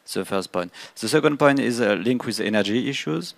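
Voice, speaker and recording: A man giving a halting spoken readout at a meeting, often pausing mid-phrase. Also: mid-range voice, animated, creaky, no room sound. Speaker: roundtable participant reporting back.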